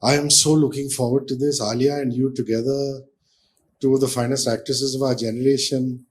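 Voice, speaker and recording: A man's voice: mid-range voice, little pitch movement, brisk. A man hosting a celebrity interview, effusive and full of compliments. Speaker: interviewer host.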